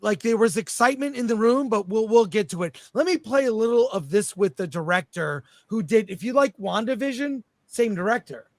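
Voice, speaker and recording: A man hosting a casual panel chat, telling things in an enthusiastic, halting way. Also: high-pitched, expressive, dry recording. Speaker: host.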